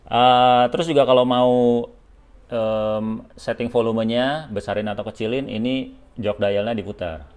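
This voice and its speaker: A man's voice: mid-range voice, steady tone, a little slow, darkish tone, clean tone. A man giving a casual, hands-on product demonstration step by step, informally and with short check-in tags. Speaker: product tutorial presenter for a helmet shop.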